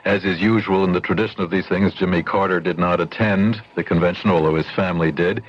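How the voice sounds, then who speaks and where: Low voice guy talking through the news easy, dull old radio audio. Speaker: news correspondent. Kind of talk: conversational news report.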